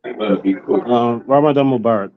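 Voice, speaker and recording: A man's voice: medium pitch, slow pace. A man calling in to a show, politely and somewhat hesitantly asking a question. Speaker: caller. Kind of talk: polite question over a call. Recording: dull lo-fi call audio, dry.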